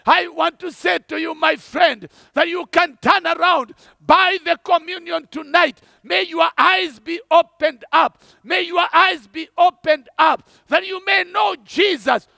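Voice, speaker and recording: A man preaching a fervent evangelistic sermon, shouting and repeating his points. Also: very high voice, measured pace, creaky, no room sound, clean studio sound.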